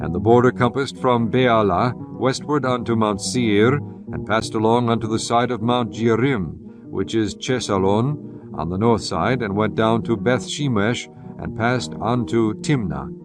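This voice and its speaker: Casting : un narrateur biblique masculin, lecture posée et régulière, avec une voix de hauteur moyenne. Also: male voice, medium pitch. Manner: measured, steady reading. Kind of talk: scripted Bible narration. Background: guitar music.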